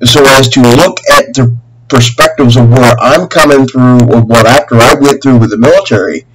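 A middle-aged man making a rambling, aggrieved and earnest complaint as a caller, halting and repeating himself in long winding sentences. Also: medium pitch. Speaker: caller to a government office. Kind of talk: spontaneous phone complaint, rambling monologue. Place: small room at home, indoors. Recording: humming noisy home webcam recording.

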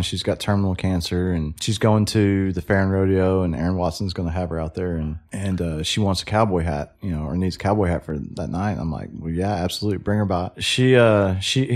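A middle-aged man with a fairly low voice chats as a podcast guest. He tells his story in a relaxed, easygoing way, often checking in with his listener. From Texas. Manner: easygoing, keeps saying "you know what I mean". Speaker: podcast guest, hat shop owner. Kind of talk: casual chat.

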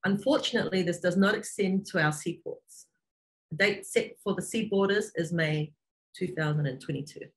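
A woman's voice: fairly low pitch, moderate pace, low-quality recording. A middle-aged woman from the Cook Islands giving an informative slide presentation over a video call from an office. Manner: steady, informative, lists place names. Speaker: marketing manager presenting on webinar. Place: office room indoors. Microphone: video call webcam.

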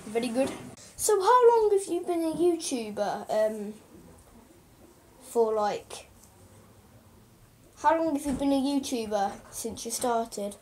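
A young boy playing a female TV newsreader, croaky and hesitant, full of ums and restarts, recorded on a close webcam in a small room. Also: extremely high pitch, expressive, slow pace, pauses often, slight background noise, exaggerated voice. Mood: chatty. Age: child. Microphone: webcam at close range.

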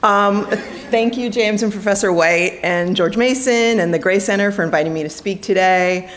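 A woman's voice: mid-range voice, moderate pace, a little hiss or hum. A middle-aged woman judge giving a prepared keynote at a lectern microphone in a conference hall, with dry, self-deprecating humor.

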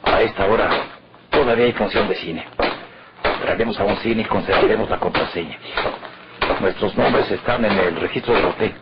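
Man acting a low, cold Mexican criminal. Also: natural voice. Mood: curt, tense. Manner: terse, commanding, deliberate. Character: cold, controlling male murderer on the run. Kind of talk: old Mexican radionovela acting. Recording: noisy, muffled vintage radio recording.

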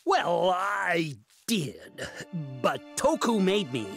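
A man voicing a grandiose, fussy dragon-like inventor in a cartoon game, with pompous, melodramatic, drawn-out delivery. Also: high voice, big pitch swings, creaky, a bit bright, slightly rough, no echo, studio quality, faint music behind, heavily acted. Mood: fussy, defensive.

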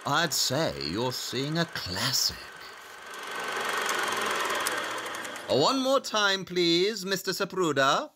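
A man's voice: a little high, big pitch swings, slow pace, background noise. An actor playing a brilliant, haughty detective in an audio play, precise, imperious and sardonic in his delivery.

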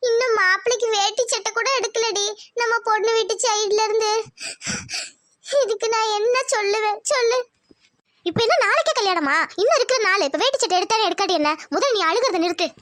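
A woman's voice: very high-pitched, fairly quick, a bit bright, no room sound, studio quality, voice pushed up. A woman playing a tearful village mother. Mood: scolding, fond. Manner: tearful, fretful. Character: anxious village mother grieving lost wedding savings.

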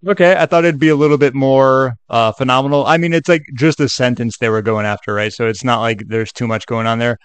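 A man's voice: medium pitch, steady tone, brisk, hardly any pauses, clean tone, dry recording. Chatty man, dry close sound. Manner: chatty, joking asides. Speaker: tutorial video host. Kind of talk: casual screen-share walkthrough.

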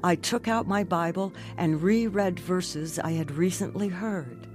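A woman's voice: lowish voice, a little slow, music in the background, lightly acted. An actress playing an earnest, devout woman who tells her own emotional life story in a radio drama. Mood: tender, reflective. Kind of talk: first-person narration in a radio drama.

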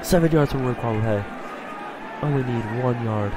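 A man with a stuffy voice from a cold, commentating his own video game play and getting excited on big plays. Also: medium pitch, normal speed, very noisy. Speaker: gaming channel host.

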